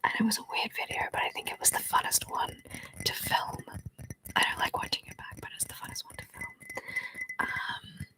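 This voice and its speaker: A woman's voice: normal speed, low-quality recording. Giggly whispering woman. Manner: giggly, rambling, playful. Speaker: ASMR creator. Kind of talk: whispered ASMR chat, reading viewer comments.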